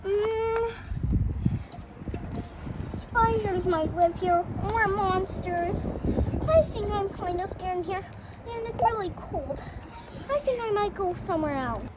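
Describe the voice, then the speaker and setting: Very high-pitched child narrating doll play. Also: takes it slowly, long pauses. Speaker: doll-play video performer. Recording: very noisy handheld outdoor recording.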